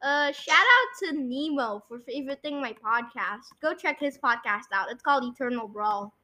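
Bright, high-pitched young podcast host. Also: lively intonation, normal speed. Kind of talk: casual chat and guessing game.

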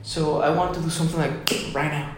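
A young man from Ecuador, a musician guest chatting casually on a podcast, excited and saying "dude" often. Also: on the high side, fast, noisy background. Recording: very echoey room.

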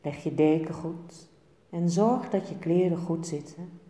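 A woman leads a guided meditation in a low, soft-edged, mellow voice. She speaks calmly and very unhurriedly, leaving pauses between short phrases. The sound carries a long, echoing reverb. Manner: calm, soothing, measured with short phrases and pauses. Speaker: yoga nidra meditation teacher. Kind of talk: guided relaxation and meditation instruction. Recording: echoey room with long reverb.

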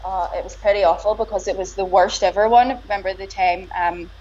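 A woman's voice: mid-range voice, fairly even intonation, brisk, darkish tone, slight background noise. A woman with a broad Belfast accent, talking as a guest in a casual, hesitant conversational way. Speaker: guest, boxer's partner. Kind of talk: casual interview. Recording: slightly dull lo-fi sound.

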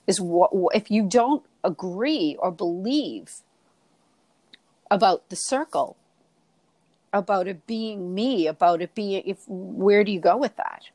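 A woman's voice: medium pitch, takes it slowly, darkish tone, dry recording. Boston-accented woman co-host, coaching, dry close sound, mid voice. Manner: coaching, asks rhetorical questions, repeats herself. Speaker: podcast co-host. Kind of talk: reflective spiritual discussion.

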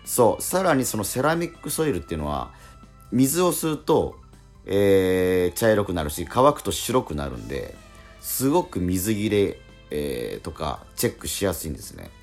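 A man hosting a radio show, chatting casually and explaining things in a polite, halting way. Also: low-pitched, big pitch swings, a little mellow, soft background music. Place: radio studio.

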